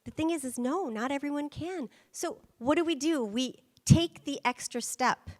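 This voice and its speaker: A woman's voice: sits high, normal speed, a little mellow, long reverb tail. A woman giving a conference presentation, speaking emphatically and checking in with rhetorical questions. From United States. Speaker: guest speaker.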